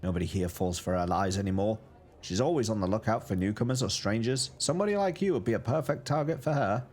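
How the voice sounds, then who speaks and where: A man's voice: lowish voice, animated, quick pace, a little mellow. A man voicing a plump, kindly middle-aged greengrocer woman who gossips with a put-on accent, in a storybook reading. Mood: gossipy. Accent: a put-on regional accent. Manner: gossipy, sighing, chatty.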